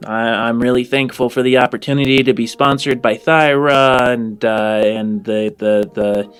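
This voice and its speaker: A guarded teenage orphan boy from a tabletop game , acted out by a man. He speaks at a middling pitch in halting phrases, as if reciting. Faint music plays behind him. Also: fairly even intonation, smooth, natural voice. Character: guarded fifteen-year-old orphan boy reciting a thankful speech.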